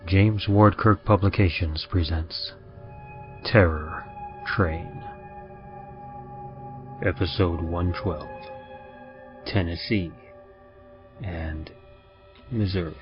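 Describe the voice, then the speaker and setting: A man's voice: sits low, fairly even intonation, extremely slow, pauses often. A man playing the sinister conductor of a hellish ghost train, theatrical and ominous in an old-time radio horror show. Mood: solemn ominous. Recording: muffled lo-fi audio, music behind.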